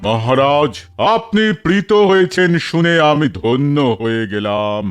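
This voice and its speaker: A man's voice: mid-range voice, sing-song, measured pace, clear, resonant tone. A man voicing a boastful, hulking champion wrestler in a cartoon, speaking in a declamatory way. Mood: boastful, respectful. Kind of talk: Bengali cartoon dialogue.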